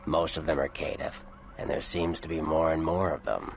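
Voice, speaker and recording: An actor playing a worldly, sardonic old vampire informant in a video game, gravelly, with a dry, wry, slangy way of explaining things. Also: male voice, extremely low pitch, little pitch movement, normal speed. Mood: matter-of-fact. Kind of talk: video game character dialogue.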